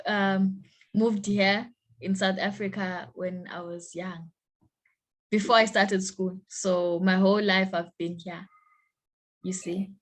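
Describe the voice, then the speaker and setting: A young woman with a Zimbabwean accent speaks as a guest in an interview. She talks slowly and haltingly, and often restarts her sentences. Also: medium pitch. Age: young adult. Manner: hesitant, halting, repeats words. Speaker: guest, university student.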